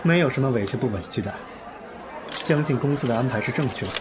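A man's voice: mid-range voice, animated, fast talker, crowd noise, background noise, natural voice. A man acting in an audio drama as an arrogant, two-faced pop star with a haughty, suave manner. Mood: composed, polite.

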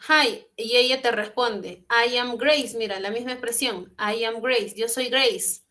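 A woman's voice: a little high, measured pace, pushed and bright. A young woman teaching English in an online class, explaining exercises patiently and switching between Spanish and English, heard through a laptop on a video call from a small room at home. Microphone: laptop webcam on a video call.

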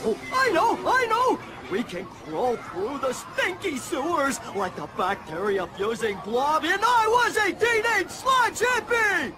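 A man playing a big, dim-witted, excitable boy in a cartoon game, with a goofy, dopey delivery. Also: very high-pitched, measured pace, few pauses, heavily acted. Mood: excited. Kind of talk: cartoon video game acting. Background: music.

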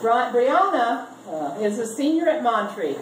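Older lady reading funny stuff at a reunion, echoey garage, really loud background noise. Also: a little high, sing-song, a little slow, distant mic. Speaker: reader at a family reunion. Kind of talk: reading a comic text aloud to a gathering. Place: open garage full of seated relatives. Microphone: handheld camera a few meters away. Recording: roomy, noisy garage from off camera mic.

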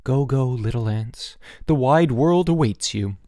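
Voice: medium pitch, big pitch swings, normal speed, darkish tone, no room sound, studio quality. A narrator voicing a mischievous schoolboy troublemaker with a bold, theatrical, mock-solemn delivery in a storybook.

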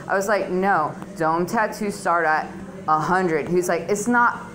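A woman's voice: fairly low pitch, a little slow, crowd noise, rough recording. A middle-aged woman performing stand-up at an open mic, telling stories in a crude, slangy, conversational way into a handheld stage mic. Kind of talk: stand-up comedy routine. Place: small dim comedy club stage, indoors. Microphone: handheld stage microphone, filmed on phone close below.

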